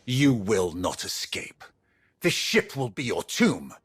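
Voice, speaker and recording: A ruthless ancient warrior of the dark side, very low and husky. He speaks slowly and formally, with menace and open contempt, in dramatic game style. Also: sing-song, dry recording, heavily acted. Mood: menacing. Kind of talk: dramatic video game voice acting.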